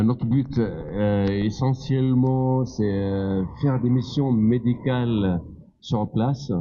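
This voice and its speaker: Halting, low-voiced older man from Palestine. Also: dark tone, lo-fi sound. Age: middle-aged or older. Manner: halting, searching for words. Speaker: guest speaker, doctor. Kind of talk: unscripted public talk.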